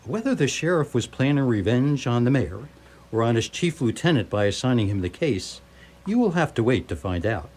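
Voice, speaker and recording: Male narrator, radio theatre storytelling, wry, literary, medium pitch, lively intonation. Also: normal speed, husky. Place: live radio theatre performance.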